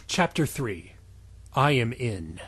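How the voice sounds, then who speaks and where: A man's voice: medium pitch, big pitch swings, drawn-out words, fairly bright tone, slightly rough, no room sound. A man co-hosting a comedy podcast, reading fan fiction aloud with incredulous reactions, swearing and frequent laughter.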